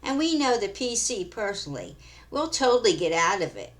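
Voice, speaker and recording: A woman's voice: medium pitch, very animated, a little fast, natural voice. A woman voicing a careless young valley girl who speaks in a smug, slangy drawl.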